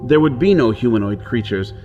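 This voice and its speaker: A man's voice: mid-range voice, lively intonation, brisk, dark tone, dull audio, natural voice. Actor as brusque, brooding outlaw man, music behind. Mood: brooding, reflective. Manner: dramatic, brooding, brusque in dialogue. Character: brusque, wary hunted outlaw man on Mars. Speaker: narrator and lead actor. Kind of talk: pulp radio drama acting and first-person narration.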